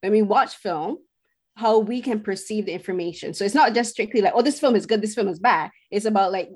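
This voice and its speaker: A woman's voice: mid-range voice, brisk, slightly rough. Woman, film critic guest. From Barbados. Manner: earnest, talks at length, searching for words. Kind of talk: casual podcast conversation.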